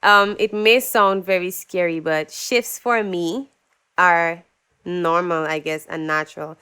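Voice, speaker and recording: A woman's voice: on the low side, slow pace, clear, resonant tone. A young adult woman from Dominica, a singer-songwriter guest telling her story in a casual interview and acting out what others said. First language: English. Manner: animated, acts out quoted voices.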